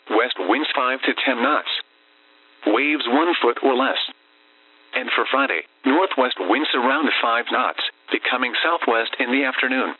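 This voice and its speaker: Bright, raspy male weather radio announcer. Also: mid-range voice, dry recording, rough recording. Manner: formulaic, impersonal automated announcing. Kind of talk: scripted marine forecast and conditions reading.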